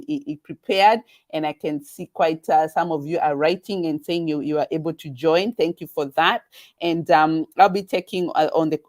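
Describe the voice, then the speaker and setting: A woman with a Kenyan accent hosting a live webinar stream, talking in a halting way with many restarts and repeated words. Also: deep voice, darkish tone. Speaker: webinar host.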